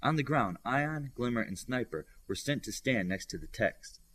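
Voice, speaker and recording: A man's voice: mid-range voice, expressive, moderate pace, fairly bright tone, no echo. A man reading a scripted science fiction audiobook as its narrator.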